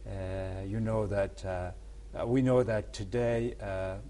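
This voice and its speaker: Man, low mellow voice, studio host, faint hum. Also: fairly even intonation, slow pace. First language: Mongolian. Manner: many fillers while asking questions. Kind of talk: studio interview. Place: broadcast studio.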